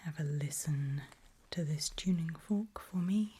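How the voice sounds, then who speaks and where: A woman whispering a medical exam roleplay in ASMR style, breathy and hushed, slow, deliberate and gently coaxing. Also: low-pitched, a little hiss or hum. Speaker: ASMR performer playing an examiner.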